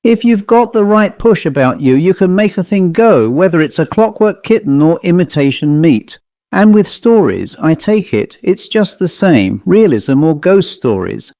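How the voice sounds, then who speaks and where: A man's voice: sits high, very animated, normal speed, mellow, no room sound, voice pushed up. A man voicing a smart, dapper travelling salesman, opinionated and assertive, in a story reading. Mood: confident.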